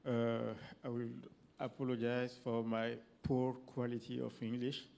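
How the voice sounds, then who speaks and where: A man with a French accent, a government minister giving a formal speech in a slow, measured way with hesitant pauses. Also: medium pitch, monotone, gravelly, a little mellow. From French-speaking African country.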